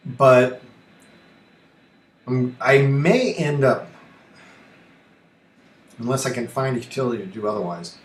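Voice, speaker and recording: A man's voice: medium pitch, slow pace, pauses often. A man hosting a casual live-stream tutorial, rambling and thinking aloud in a self-deprecating way. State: allergy coughing and throat-clearing. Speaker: livestream host and ham radio operator. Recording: roomy echo, faint noise.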